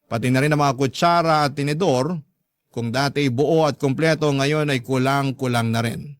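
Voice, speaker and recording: A man's voice: mid-range voice, moderate pace, dry recording, clean studio sound. A man with a Filipino accent narrating a first-person story as a radio host, in an earnest, confiding and polite way.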